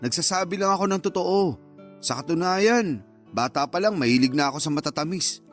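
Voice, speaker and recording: An actor playing a flirtatious, flattering suitor in a radio drama dialogue. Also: male voice, fairly high pitch, brisk, faint music behind, natural voice. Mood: playful, flirting. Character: flirtatious, flattering suitor chatting up a taken woman.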